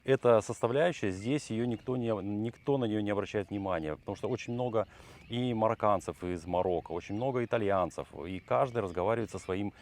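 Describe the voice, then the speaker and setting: A middle-aged man from Tajikistan co-hosting a vlog, measured and hesitant, thinking aloud as he goes. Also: fairly low pitch, darkish tone, a little hiss or hum. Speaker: channel co-host. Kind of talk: casual conversational vlog.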